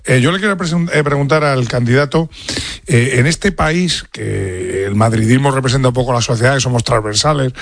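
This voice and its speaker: Mid-pitched Spanish man, dry close sound. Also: a little fast, creaky, no echo. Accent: Spanish, from Spain. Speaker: interviewer questioning a candidate. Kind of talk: spontaneous interview questioning.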